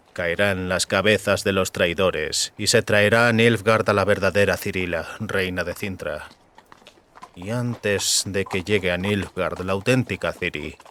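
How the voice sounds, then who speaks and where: A man's voice: low-pitched, fairly bright tone. A man reading scripted fantasy fiction as an audiobook narrator.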